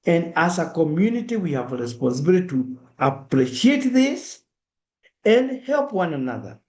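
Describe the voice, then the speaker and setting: A man's voice: a little high, big pitch swings, normal speed, darkish tone. A middle-aged man with a Kenyan accent giving an earnest, direct public talk and acting out examples in the first person. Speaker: speaker at a diaspora awareness event. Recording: echoey room.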